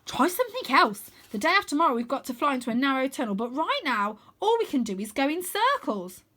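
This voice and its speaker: A woman voicing a reckless, excitable young boy in a storybook, eager and boisterous. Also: high voice, fast talker, voice pushed up, full character voice. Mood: pushy.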